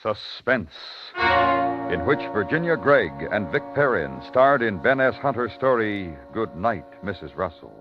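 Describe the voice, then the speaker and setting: A muffled vintage radio recording has a male announcer over very loud music. His scripted 1950s delivery is fairly low and swings widely in pitch. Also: big pitch swings, a little slow.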